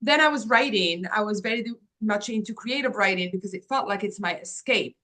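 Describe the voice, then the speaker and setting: A woman's voice: medium pitch, a little fast, sharp tone. A woman in her fifties from Morocco, telling her life story as an interview guest in an earnest, reflective way with many "um"s and "like"s. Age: 50s. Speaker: guest, agency founder.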